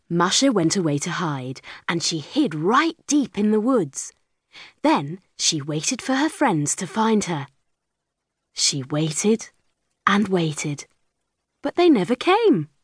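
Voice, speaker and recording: Slow, animated woman storyteller. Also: mid-range voice, husky, no echo, studio quality. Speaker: audiobook narrator. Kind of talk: scripted children's story narration.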